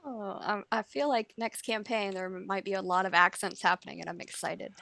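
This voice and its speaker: Bright-voiced upbeat woman. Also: mid-range voice, fairly even intonation, moderate pace, creaky. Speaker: podcast co-host. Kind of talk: casual chat.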